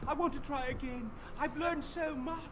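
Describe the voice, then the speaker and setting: A man voicing a fussy, haughty, high-strung master armorer in a fantasy video game, with a dramatic, perfectionist manner. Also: normal speed, noisy background. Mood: excited, proud.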